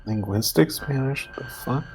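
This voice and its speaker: A man's voice: mid-range voice, a little slow, soft background music. A man playing a tabletop roleplaying game, chatting casually and loosely, searching for words and swearing now and then.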